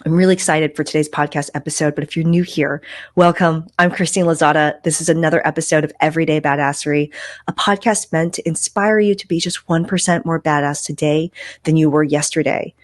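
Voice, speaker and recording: A woman's voice: low-pitched, steady tone, a little fast, no echo, clean studio sound. A woman hosting a podcast, talking in an upbeat, emphatic, conversational way. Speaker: podcast host. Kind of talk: podcast intro and interview.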